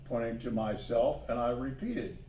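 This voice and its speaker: A man reading a children's story aloud, pausing to add simple asides about the pictures. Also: mid-range voice, mellow, a little hiss or hum. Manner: pauses to point out the pictures. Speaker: storybook reader. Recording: echoey room, distant microphone.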